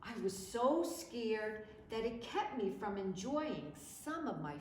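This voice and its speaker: Casting: female storytime reader, unhurried and highly expressive, recorded in a very echoey room with slight noise. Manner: animated, dramatic, measured. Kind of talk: expressive children's picture-book read-aloud.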